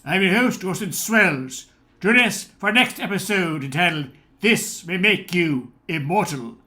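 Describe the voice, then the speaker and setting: A solemn radio anthology host, acted by a man in old-time style. He narrates with measured, theatrical delivery and a forceful edge to the voice. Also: sits high, expressive, exaggerated voice.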